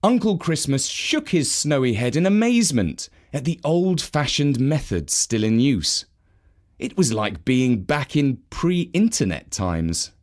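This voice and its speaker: A man narrating a children's story from a script in an expressive, measured manner. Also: mid-range voice.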